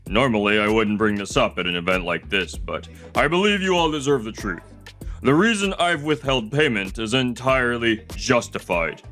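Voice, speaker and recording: A man voicing a scheming, panicky CEO who smooths over his lies in a manga dub. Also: mid-range voice, moderate pace, a bit bright, faint music behind, close to an everyday voice. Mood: smug lying.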